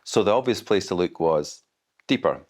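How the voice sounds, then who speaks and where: A Scottish man speaking as an expert guest, explaining things in a measured, careful, conversational way. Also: deep voice, expressive. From Scotland.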